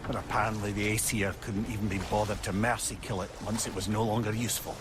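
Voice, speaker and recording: An actor voicing a clever, remorseful old advisor in a video game, with an earnest, confiding way of talking. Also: male voice, lowish voice, fairly even intonation, fast, noisy background, lightly acted. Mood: remorseful, gentle. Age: older.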